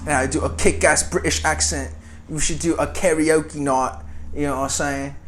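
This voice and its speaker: A young man co-hosting a casual podcast, animated, swearing casually and laughing often. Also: fairly high pitch, normal speed, a little hiss or hum. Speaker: podcast host. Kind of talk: casual chat.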